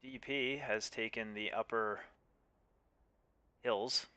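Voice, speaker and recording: A man commentating live on an esports tank match as streamer, with a halting delivery that repeats words. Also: lowish voice, steady tone, pushed and bright, smooth, dry recording.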